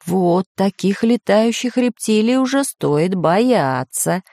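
A woman voicing a learned, composed male geographer, measured and matter-of-fact, in a children's storybook. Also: medium pitch, lively intonation, dark tone, dry recording, studio quality. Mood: wary.

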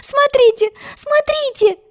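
A woman voicing an eager, excitable little girl in a fairy tale, full of exclamations. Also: very high-pitched, slow, voice pushed up. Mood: excited. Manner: exclamatory, wide-eyed.

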